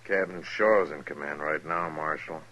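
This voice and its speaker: An actor playing a hard-drinking cavalry soldier in a 1950s radio western. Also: male voice, a bass voice, steady tone, normal speed, pushed and bright, muffled recording. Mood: sober, glum. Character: drunken, belligerent young cavalry soldier, later contrite. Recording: old radio recording.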